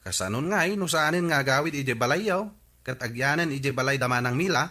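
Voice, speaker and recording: A man's voice: medium pitch, lively intonation, fairly quick, pushed and bright. An actor playing an ordinary village man with a Filipino accent, chatting conversationally in a radio comedy drama. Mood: matter-of-fact.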